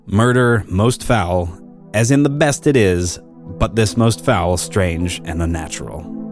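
A man's voice: lowish voice, measured pace, soft background music. A man narrating a historical crime account as a scripted dramatic reading.

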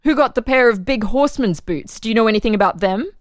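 An insistent official interrogator, played by a woman, with a fairly high voice recorded dry and close. The questions come formal and leading, in the manner of a dramatized court record. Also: fast talker, no room sound, close to an everyday voice. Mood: probing, formal. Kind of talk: dramatic reading of an interrogation transcript.

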